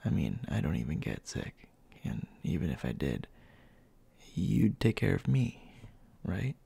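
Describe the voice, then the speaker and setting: A man acts out a young boyfriend in an intimate ASMR roleplay, scolding and tender at once. His low voice is very husky and breathy, often slipping into a whisper. He talks slowly and haltingly, like someone bossy who cares a great deal. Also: very animated, dark tone, heavily acted. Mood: tender, teasing. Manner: halting, murmured, scolding yet caring. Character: gruff but tender, bossy young boyfriend nursing sick partner.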